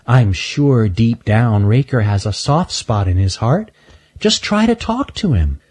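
A man's voice: medium pitch, big pitch swings, measured pace, breathy edge. A man voicing a kind young girl from a storybook who talks in an encouraging, reassuring way. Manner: warm, encouraging.

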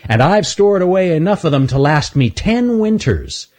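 Cocky teasing chipmunk guy, a man doing the voice, raised pitch, bouncy, a bit husky. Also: sing-song, moderate pace, darkish tone. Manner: teasing, breezy. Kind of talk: storybook character voice.

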